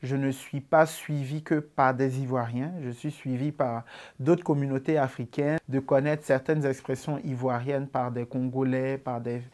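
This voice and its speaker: A man in his twenties with an Ivorian accent, answering interview questions about his comedy in a relaxed way, with many fillers. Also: mid-range voice, normal speed. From Ivory Coast. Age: 20s.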